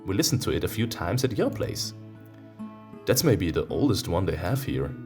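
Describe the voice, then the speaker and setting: A man reading a visual novel aloud as a let's-play host, breaking off into expressive, teasing reactions, with guitar music behind him. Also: deep voice, moderate pace.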